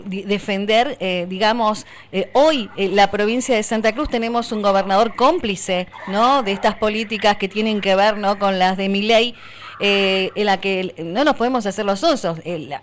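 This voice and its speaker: A woman's voice: medium pitch, slight background noise. A woman radio host with an Argentine accent, running an interview in a chatty way with many fillers and restarts. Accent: Argentine Spanish.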